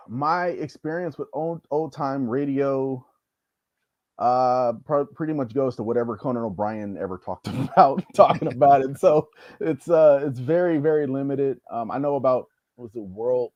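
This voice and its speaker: A man's voice: medium pitch, unhurried, darkish tone, dry recording, muffled recording. A man appearing as a show guest, chatting casually and telling stories in a jokey, informal way. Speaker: show guest, comedian and wrestler. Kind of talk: casual chat.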